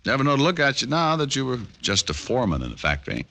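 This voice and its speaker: An American actor playing a tough, wry, stubborn private detective in a 1950s-style radio drama, with needling delivery. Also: male voice, medium pitch, wildly swooping pitch, fast, vocal fry, pushed and bright, heavily acted. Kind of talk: 1950s radio detective acting with first-person narration. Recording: old radio recording with hiss, clicks.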